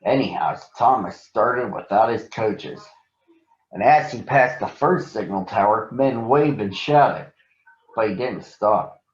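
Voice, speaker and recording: A man's voice: fairly low pitch, takes it slowly. A man narrating a children's story to young listeners as a storybook reader. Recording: roomy echo, dull sound.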